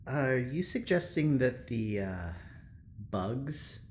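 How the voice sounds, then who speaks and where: An actor playing an upbeat, cheeky young engineer in an audio drama, talking casually and teasingly with halting bursts of enthusiasm. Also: medium pitch, lively intonation, a little mellow, a little hiss or hum. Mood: skeptical, prodding. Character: upbeat, cheeky Midwestern engineer, breezy and persuasive. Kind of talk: naturalistic audio drama acting.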